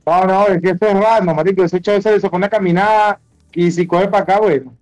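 A man's voice: high-pitched, fairly even intonation, fairly quick, a little mellow, soft background music, low-quality recording. A Venezuelan man chatting casually and swearing in slang while he streams an online game. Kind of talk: casual voice chat while gaming.

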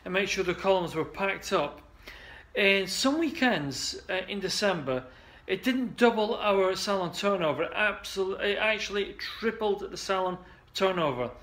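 Upbeat man pitching to camera. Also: expressive, moderate pace, fairly bright tone. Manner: chatty and upbeat, with many restarts and "uh"s. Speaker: salon marketing coach. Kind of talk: casual direct-to-camera pitch. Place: indoor studio office.

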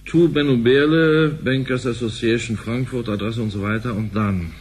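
A man's voice: mid-range voice, steady tone, normal speed, lightly acted. Suspicious, grumbling bank man male actor, radio drama. Mid-range, conversational, slight background noise. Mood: reading out, dry. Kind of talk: German radio play acting, conversational.

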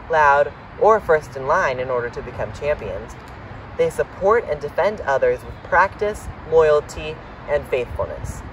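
A young man with a fairly high voice reads a children's picture book aloud in a lively, varied tone. He is recorded outdoors from a little distance, with faint background noise. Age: young adult. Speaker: reader. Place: outdoors in autumn, seated by shrubs. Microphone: camera several meters away.

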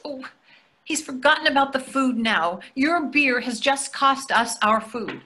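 A woman's voice: sits high, measured pace, bright, forceful tone, a little hiss or hum. A middle-aged woman playing an eager-to-please empty-nester wife in a comedy play reading, chatty and placating. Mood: reproachful. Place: indoors on a video call. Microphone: video call microphone.

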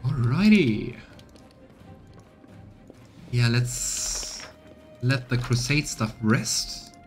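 A man's voice: medium pitch, lively intonation, very slow, over music. A man in his thirties giving casual commentary as he streams a game playthrough. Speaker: let's play streamer.

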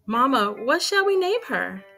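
A woman's voice: sits high, very animated, unhurried, vocal fry, pushed and bright, faint music behind, full character voice. A woman voicing a cheerful, playful young girl in a storybook, eager and bubbly.

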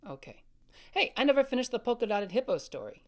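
A gentle, caring big brother. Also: male voice, very high voice, sing-song, fast talker. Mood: soothing. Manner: gentle, soothing, reassuring. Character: caring older brother, young, calm storyteller. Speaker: voice actor. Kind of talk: family audio drama acting.

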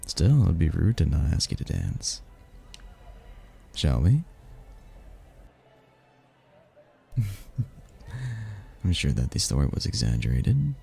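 A man performs a mysterious, flirtatious masked stranger courting a royal in an ASMR romance. His voice is very low and unhurried, with lively swings of pitch. He sounds playful and courtly throughout. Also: creaky, slightly rough, full character voice.

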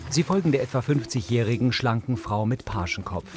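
A man's voice: medium pitch, lively intonation, brisk, over music. A man narrating scenes in a neutral, descriptive audio-description style. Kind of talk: scripted narration.

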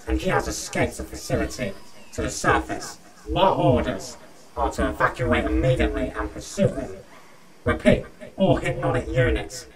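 A man playing an official, stiff commander making an intercom announcement in a fan audio drama. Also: a little high. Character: male commander broadcasting evacuation orders, official and stiff. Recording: distant intercom announcement, crowd behind.

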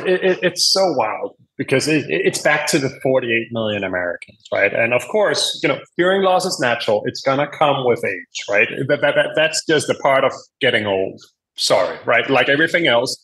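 A man's voice: medium pitch, fairly bright tone, poor audio. Emphatic man explaining casually. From Denmark. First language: Danish. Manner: emphatic, informal, punctuates points with "right?". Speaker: podcast guest, hearing aid company executive.

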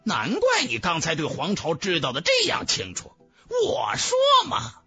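A male narrator plays a brash young cultivator from a fantasy audiobook. His voice sits high and leaps around dramatically, with an exclamatory, incredulous bluntness. Soft music runs underneath. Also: wildly swooping pitch, unhurried, breathy edge, full character voice. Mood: excited, chummy. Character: brash excitable young male cultivator, suspicious then chummy. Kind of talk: fantasy audiobook character acting.

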